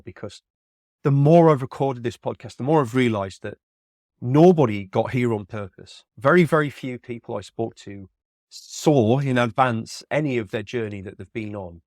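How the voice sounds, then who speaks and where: A man's voice: medium pitch, a little mellow, no room sound, clean studio sound. Friendly rambling man hosting a podcast. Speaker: podcast host. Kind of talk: casual interview hosting.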